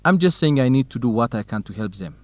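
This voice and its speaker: A man's voice: medium pitch, expressive, fast talker, no room sound, natural voice. An actor playing a dutiful, determined young man from Ethiopia in a radio drama for English learners. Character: young Ethiopian farm son, dutiful, determined, quietly lovestruck.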